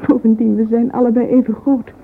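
An actress playing a dreamy, lovesick young woman in a radio play, thinking aloud in a husky, yearning voice. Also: fairly high pitch, little pitch movement, fairly quick, slight background noise, close to an everyday voice. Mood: hopeful, admiring. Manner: wistful, yearning. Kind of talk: radio drama interior monologue. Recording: muffled, phone-like radio play recording.

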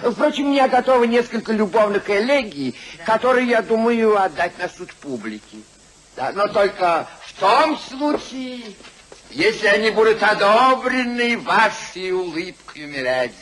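A foppish young society poet, affected and pleased with himself. The voice is high and bright, with animated swings of pitch, in the manner of old 1950s radio drama. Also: male voice, moderate pace, poor audio. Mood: self-satisfied. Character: foppish, vain young nephew and amateur poet. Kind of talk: 1950s Russian radio comedy-of-manners acting.

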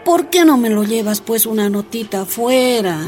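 A woman's voice: a little high, animated, normal speed, a little mellow, faint music behind, lightly acted. An actress playing a jailed miner's wife and young mother, pleading and defiant in a radio drama. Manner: pleading then defiant, emotional.

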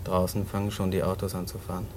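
Radio drama: male actor as earnest, decent cellmate, lowish voice, hesitant and reflective, faint background noise. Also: completely flat delivery, fast talker, close to an everyday voice. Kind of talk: naturalistic German radio play acting.

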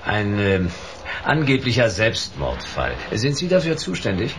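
An actor playing a laconic, sardonic private detective in a German radio drama, dry and deadpan in narration and questioning. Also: male voice, lowish voice, measured pace, background noise, heavily acted. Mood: probing.

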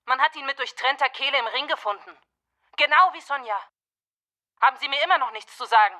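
High-voiced stern police commissioner. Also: female voice, fairly quick, pushed and bright. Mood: stern, pressing. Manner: blunt, interrogating, commanding. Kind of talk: radio drama acting.

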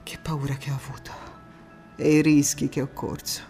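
A young woman partisan telling her war in a low-pitched voice, grieving yet resolute. The delivery is a theatrical monologue, intense and deliberate, with dramatic pauses and repeated words. Faint music sits behind her. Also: little pitch movement, gravelly, warm tone. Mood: resolute, reflective. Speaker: stage actress in a monologue.

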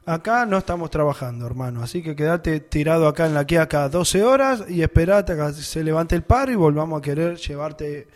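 A man with an Argentine accent, a guest on a radio chat, who tells lively stories and laughs often. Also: fairly high pitch, a little fast, hardly any pauses. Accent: Argentine Spanish. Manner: animated anecdote-telling, laughs often. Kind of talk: casual storytelling in conversation.

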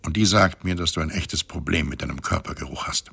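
An actor playing a dry, teasing space-station guide in a German science fiction radio drama, deadpan and bossy. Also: male voice, extremely low pitch, fairly quick, creaky, slightly rough, heavily acted.

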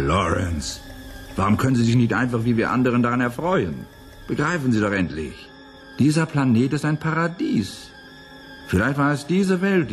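Cynical boozy male scientist, low-pitched and very animated, sardonic 1980s radio play delivery. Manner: sardonic, theatrical. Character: cynical, hard-drinking male civilian biologist. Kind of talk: 1980s German radio drama acting.

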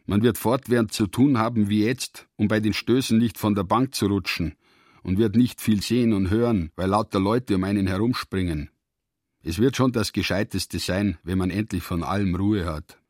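A man reads literary prose as the narrator of a radio drama. His voice is on the low side and very dry, with deliberate, measured phrasing. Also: darkish tone, no echo.

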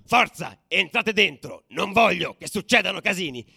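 Man as exasperated police commissioner, bellowing, high-strung. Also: animated, moderate pace, gravelly, breathy edge, no echo, full character voice. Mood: angry commanding. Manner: barked, forceful commands.

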